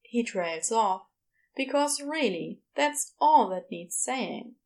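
A woman's voice: fairly high pitch, animated, slow pace, gravelly, darkish tone. A woman narrating scripted fiction as an audiobook storyteller.

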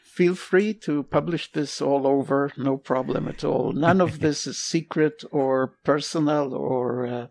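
A man in his eighties from Israel, a retired doctor, chatting with his son and pausing often with a chuckle. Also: fairly high pitch, gravelly, a little mellow. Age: 80s. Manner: chuckling, halting with many uh pauses. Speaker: guest, retired military doctor. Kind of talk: casual interview chat. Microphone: remote call from home.

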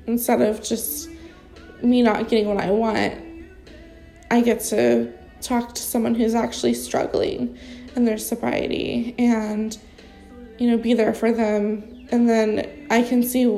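Stuffy-nosed young woman, gravelly and mellow. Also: fairly high pitch, steady tone, a little slow, soft background music, poor audio. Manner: rambling, spontaneous, heavy with fillers. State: congested, stuffy nose. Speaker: recovery sponsor sharing experience. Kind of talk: casual unscripted vlog talk.

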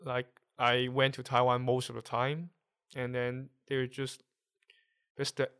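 Smooth-voiced man with a Hong Kong accent. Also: mid-range voice, completely flat delivery, a bit bright. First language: Cantonese. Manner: halting, searching for words while explaining. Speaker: guest. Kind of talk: casual conversation.

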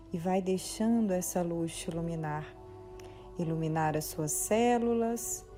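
A Brazilian woman leading a guided meditation, soothing and gently instructing in short phrases with pauses between them. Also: mid-range voice. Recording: soft background music. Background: soft music.

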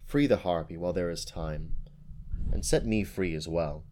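A man's voice: sits low, moderate pace, warm tone, a little hiss or hum, close to an everyday voice. Man voicing a solemn unicorn. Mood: grave. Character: proud, gentle female unicorn, calm and grave.

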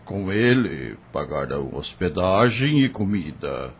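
An actor with a Brazilian accent playing a grave, kindly helper of fugitives in a radio drama, speaking in a measured, solemn way. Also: male voice, deep voice, sing-song, slight background noise. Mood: solemn, urging.

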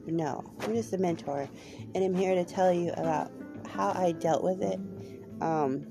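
A woman's voice: lowish voice, measured pace, gravelly, music in the background. A woman hosting her own podcast, talking candidly with many hesitant ums, her voice a little off from a cold. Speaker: podcast host. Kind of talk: personal podcast monologue.

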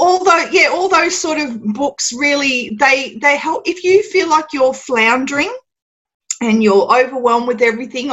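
An Australian woman chatting as a podcast guest, laughing often and filling pauses with ums. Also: high voice, a bit bright, muffled recording. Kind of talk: casual podcast interview.

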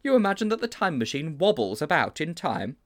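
Man playing a pompous, animated, formal schoolboy. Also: on the high side, a little fast, voice pushed up. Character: pompous, indignant schoolboy with grand formal speech.

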